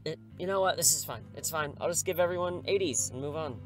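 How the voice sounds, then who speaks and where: A man acting a laid-back but exasperated high school English teacher in an audio drama, halting and slangy. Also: soft background music, lightly acted, poor audio.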